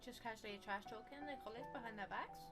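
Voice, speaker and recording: A woman's voice: on the high side, quick pace. A woman giving casual, jokey gameplay commentary, talking back to the characters as she plays. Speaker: streamer playing a game. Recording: loud game music behind.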